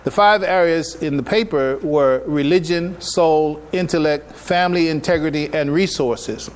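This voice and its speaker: A man giving a public religious lecture as an imam, speaking in a halting, deliberate way and often repeating his phrases. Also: a little high. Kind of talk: public religious lecture, partly reading from notes. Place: live public address in a room.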